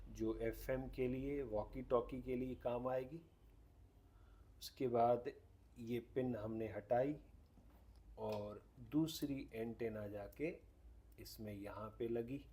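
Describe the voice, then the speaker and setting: A man's voice: medium pitch, mellow, slight background noise. Man giving a casual gadget demonstration. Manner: explains step by step. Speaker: host demonstrating a radio product. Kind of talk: casual hands-on product demonstration.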